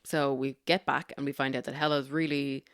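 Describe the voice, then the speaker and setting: A woman's voice: extremely low pitch, flat delivery, dry recording, studio quality. A woman co-hosting a podcast, recapping a movie with enthusiastic, gushing chat. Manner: enthusiastic, gushing, quick to laugh. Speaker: podcast co-host.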